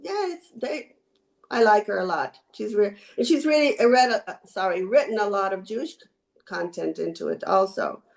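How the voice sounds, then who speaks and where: An older woman from Israel talking casually as an interview guest, halting and correcting herself as she goes. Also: medium pitch, vocal fry. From born in Israel. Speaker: podcast guest, retired teacher. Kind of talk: casual conversational reminiscing.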